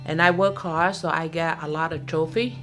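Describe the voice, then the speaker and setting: A middle-aged woman who speaks English as a second language, talking earnestly in a halting way in a documentary interview. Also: sits low, fairly even intonation, over music. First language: not English. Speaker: interviewee, salon owner.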